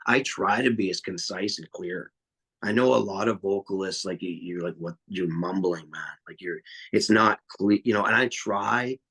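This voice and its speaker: Low husky guy, metal singer rambling blunt on a podcast, swears a lot. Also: moderate pace, a bit bright, poor audio. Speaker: metal vocalist and guitarist guest. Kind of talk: casual podcast interview.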